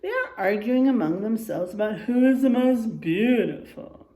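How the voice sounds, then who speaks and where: A woman reading a picture book aloud to children in a teacherly, expressive way, checking in with her listeners as she goes. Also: on the high side, moderate pace, echoey room. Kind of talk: animated children's read-aloud with asides to listeners.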